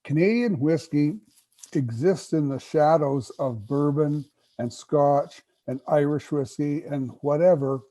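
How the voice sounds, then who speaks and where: Husky, mellow man who keeps clearing his throat. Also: a little high. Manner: clears his throat often, emphatic and knowledgeable. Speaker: guest whisky expert. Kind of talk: casual interview talk.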